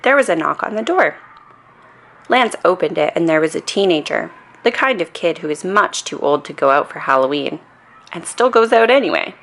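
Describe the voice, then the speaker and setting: A woman reading a children's story aloud in an expressive, deliberate way with dramatic emphasis. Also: fairly low pitch. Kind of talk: animated bedtime story read-aloud.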